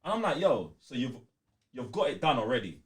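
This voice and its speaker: A man's voice: mid-range voice, very animated, brisk. A man with a London accent co-hosting a gaming podcast in casual chat, emphatic and slangy, repeating points to drive them home. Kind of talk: casual chat about video games.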